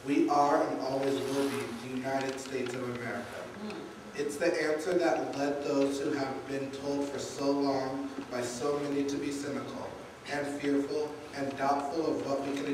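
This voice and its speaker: A young man reading a political speech aloud at a church service. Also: medium pitch. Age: young adult. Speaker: congregation youth member reading a speech. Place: large church, indoors. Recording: echoey, noisy church recording from far off.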